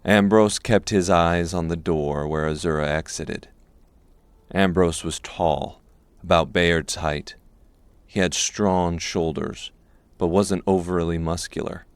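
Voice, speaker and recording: A man narrating a scripted dark fantasy audiobook. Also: low voice, steady tone, slow pace, smooth, no echo.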